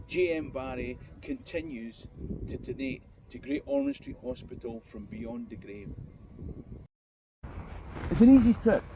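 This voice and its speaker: A man's voice: medium pitch, dark tone. A Scottish man presenting as a history tour guide, speaking to camera in a conversational, wry way. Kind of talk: history travel storytelling to camera. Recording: noisy outdoor location, traffic noise.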